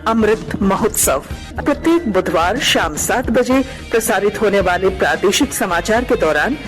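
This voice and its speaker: A man's voice: high-pitched, poor audio. A man reading scripted public-service announcements on the radio as an announcer.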